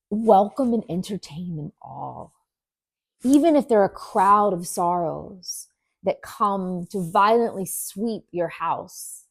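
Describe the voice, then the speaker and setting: A woman teaching yoga nidra, leading a guided meditation in a gentle, soothing manner with deliberate pauses. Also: fairly low pitch, creaky, dry recording.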